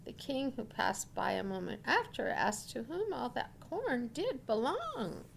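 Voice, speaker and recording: Unhurried, expressive woman storyteller. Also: on the high side, gravelly, a little hiss or hum. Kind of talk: expressive fairy-tale narration read aloud.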